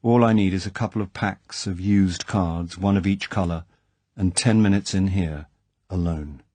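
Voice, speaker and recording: A man voicing a composed male agent who speaks quietly and evenly. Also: low voice, breathy edge, no echo. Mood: calm. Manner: quiet, measured.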